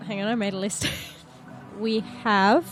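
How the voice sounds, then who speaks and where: Female tabletop gamer, medium pitch. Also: steady tone, a little slow, faint music behind, rough recording. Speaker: player in a tabletop game. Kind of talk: casual game chat.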